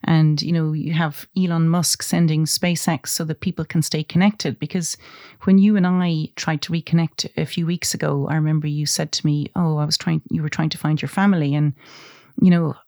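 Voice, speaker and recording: A podcast host on a health show, asking questions of a guest in a measured, conversational way. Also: mid-range voice, level tone, darkish tone, no room sound, studio quality. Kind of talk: interviewing a guest.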